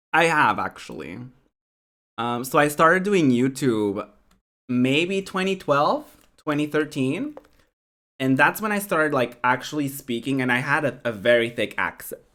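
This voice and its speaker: A woman's voice: extremely low pitch, fairly bright tone. A young woman from Portugal, Portuguese by birth, who speaks English with an American accent she picked up from online friends. She streams and chats loosely with her viewers, laughing a lot and wandering through her thoughts with plenty of "like" and "um". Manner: giggly, rambling, says "like" and "um" a lot. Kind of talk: casual chat with live viewers.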